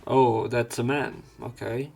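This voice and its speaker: A man's voice: medium pitch, steady tone, takes it slowly, gravelly, a little mellow, breathy edge, soft background music. A man reading a visual novel aloud as a let's play host, with his own asides.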